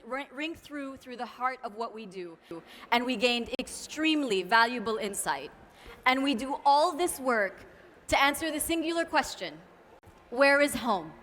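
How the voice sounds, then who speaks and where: Bright, high-voiced woman speaking on a reverberant stage. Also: a little hiss or hum. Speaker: podcast co-founder and CEO speaking on stage. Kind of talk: stage talk presentation. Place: event stage. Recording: long hall reverb.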